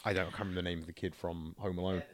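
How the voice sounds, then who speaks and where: A man co-hosting a podcast, talking casually and in a rambling, animated way about films and games. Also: low voice, racing, no room sound. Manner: animated, rambling, acts out quotes. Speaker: podcast co-host. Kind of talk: casual film and video game chat.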